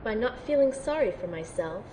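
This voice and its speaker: A woman's voice: fairly high pitch, expressive, moderate pace, mellow, close to an everyday voice. A woman playing a wounded, self-doubting young woman in an audio drama, speaking in an earnest, introspective way. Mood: doubtful, subdued. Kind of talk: audio drama dialogue and first-person narration. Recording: noisy ambience behind the voice.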